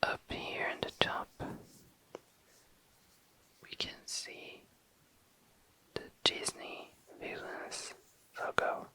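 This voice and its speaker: Very slow whispering ASMR host. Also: pushed and bright, poor audio. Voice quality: very low, breathy whisper. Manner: slow and deliberate, lingering on and repeating words. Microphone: close microphone.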